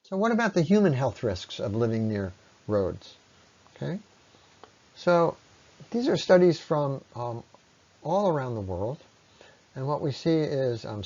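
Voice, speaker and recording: A man's voice: mid-range voice, lively intonation, measured pace, darkish tone. Man lecturing on a dull, lo-fi recording. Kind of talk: explanatory slide presentation.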